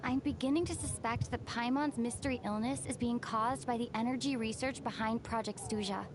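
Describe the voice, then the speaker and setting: A woman's voice: fairly high pitch, steady tone, a little fast, noisy background, natural voice. A woman playing an earnest, protective young traveler in a video game, speaking with determination. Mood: earnest, concerned. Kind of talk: video game story dialogue.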